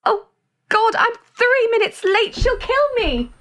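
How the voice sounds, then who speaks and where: A woman's voice: very high-pitched, very animated, slow pace, fairly bright tone, exaggerated voice. A woman playing a cheery, easily flustered young carer in an audio drama.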